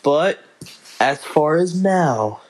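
Slow, halting young man hosting a podcast. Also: fairly high pitch, lively intonation. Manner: halting, restarts and fillers, slow unhurried delivery. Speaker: podcast host. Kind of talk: casual solo podcast announcements.

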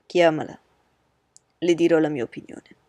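A woman voicing a calm, ironic older father, lowering her voice and speaking in a measured way. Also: no echo, lightly acted. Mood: wry.

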